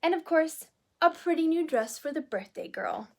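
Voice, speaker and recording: A woman voicing a gentle, reassuring queen and mother in a children's storybook. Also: very high-pitched, lively intonation, moderate pace, voice pushed up. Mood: soothing.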